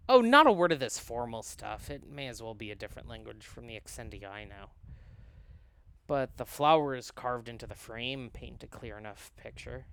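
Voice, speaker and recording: A man reading a visual novel aloud as a learned, cautious scholar, formal and measured as he explains and narrates. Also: mid-range voice, expressive, vocal fry, clear, resonant tone, no room sound. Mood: explaining.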